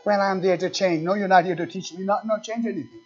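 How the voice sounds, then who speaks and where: A high-pitched man preaches from the pulpit, dropping to low asides and then surging loud. He slows to lean hard on scripture and keeps firing questions at his listeners. The recording is dull and lo-fi. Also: fairly even intonation. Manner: reads scripture slowly and with emphasis; talks straight to the congregation with questions. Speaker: pastor. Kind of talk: fiery sermon.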